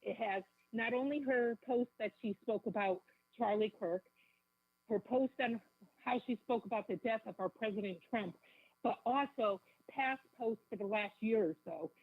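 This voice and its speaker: A woman calling in to a radio show, raspy from a bad cold, speaking emphatically and indignantly. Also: on the high side, moderate pace, dry recording. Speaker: phone-in guest. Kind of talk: live radio call-in advocacy. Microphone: telephone. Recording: tinny, narrow phone line.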